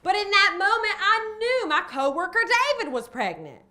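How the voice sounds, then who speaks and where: A woman playing a boastful, eccentric psychic in a comedy monologue, delivering a mock sales pitch with dramatic emphasis. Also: sing-song, sharp tone, exaggerated voice. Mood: boastful, mystical. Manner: dramatic, emphatic, drawn-out catchphrases. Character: boastful, eccentric female storefront psychic pitching her powers.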